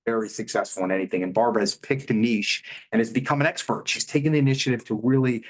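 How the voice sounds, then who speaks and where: A man's voice: mid-range voice, fairly even intonation. Affirming male interview host. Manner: measured and affirming, sums up lessons. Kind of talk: casual interview chat.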